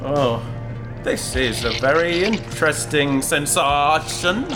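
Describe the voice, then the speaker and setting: A man's voice: fairly high pitch, lively intonation, takes it slowly, over music. A man playing a small, injured space researcher in a sci-fi audio drama, dazed, guileless and childlike in the way he talks. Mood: loopy, bashful. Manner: woozy, drifting, guileless.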